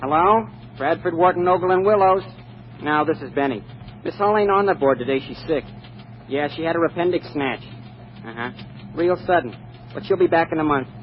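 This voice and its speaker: A muffled, faintly noisy 1940s radio recording carries an actor as a chatty young American office clerk. His voice is on the high side, his talk slangy and cheekily familiar. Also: fairly quick, close to an everyday voice. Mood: casual, cheeky. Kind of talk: 1940s radio comedy acting.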